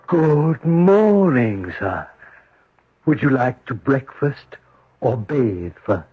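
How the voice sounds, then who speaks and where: An actor playing a supernatural giant servant, formal, measured and deferential, in a 1970s radio drama. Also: male voice, medium pitch, big pitch swings, slightly rough, exaggerated voice. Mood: politely attentive. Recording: roomy, muffled 1970s radio broadcast.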